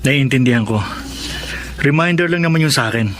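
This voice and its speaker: A man's voice: medium pitch, a little fast, a bit bright, faint music behind, close to an everyday voice. A man playing a playful, confident boss's son in a radio drama, young, with a Filipino accent. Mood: friendly. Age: young adult.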